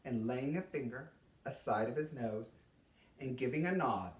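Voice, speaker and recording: A man giving a theatrical poetry recitation as a storyteller, with dramatic, expressive delivery and deliberate pauses. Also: mid-range voice. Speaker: storyteller reading a Christmas poem. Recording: roomy echo, distant microphone.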